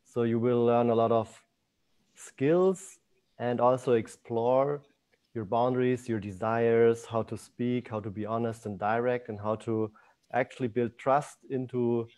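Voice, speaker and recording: A man's voice: medium pitch, fairly even intonation, measured pace, darkish tone. A man informally presenting workshops as their teacher.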